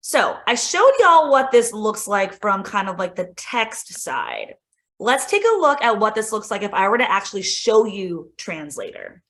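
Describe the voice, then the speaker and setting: A woman's voice: medium pitch, wildly swooping pitch, unhurried, a bit bright. A woman instructional coach giving a casual, enthusiastic screen-share tutorial for teachers, peppering her talk with "like" and "right?". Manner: chatty, lots of "like" and "right?". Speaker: instructional coach presenting a tech demo.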